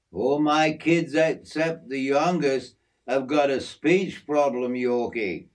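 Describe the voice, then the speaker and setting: A man putting on a friendly middle-aged Aussie working bloke, raised a bit above the reader's own pitch, with a broad, slangy, matey drawl. He talks at an unhurried, slow pace, like a storybook father showing off his backyard. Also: darkish tone. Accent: Australian. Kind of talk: storybook character voice.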